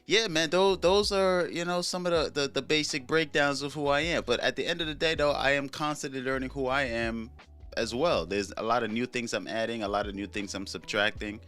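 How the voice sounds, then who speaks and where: A man's voice: medium pitch, fairly quick, a bit bright, faint music behind. A young man from Trinidad hosting a solo podcast, talking in a laid-back, rambling way with many fillers and casual swearing. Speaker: podcast host.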